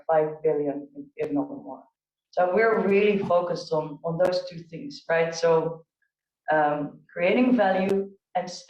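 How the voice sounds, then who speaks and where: A woman's voice: fairly low pitch, a little mellow. A woman with a Dutch accent, speaking on a virtual panel as a procurement executive, earnest and fluent with frequent restarts. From Netherlands. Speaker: panelist, chief procurement officer. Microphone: video call. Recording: roomy, distant, dull video-call audio.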